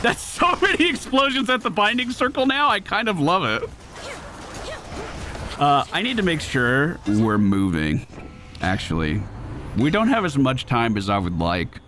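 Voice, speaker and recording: A man giving casual live gameplay commentary as a streamer, slangy and excitable, with some swearing. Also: fairly high pitch, measured pace, a little hiss or hum. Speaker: gaming streamer. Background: faint game music.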